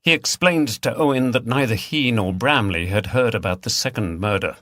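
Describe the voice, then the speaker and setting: A man's voice: medium pitch, expressive, normal speed, a bit bright, no echo. A man reading scripted mystery fiction as an audiobook narrator.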